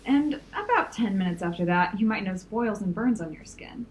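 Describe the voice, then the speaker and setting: A woman playing a commanding young supervillain in an audio drama, formal and deliberate. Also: mid-range voice, expressive, a little mellow, lightly acted, rough recording. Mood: coolly threatening. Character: commanding, coolly threatening young supervillain leader. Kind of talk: audio drama villain acting. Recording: faint noise and room ambience.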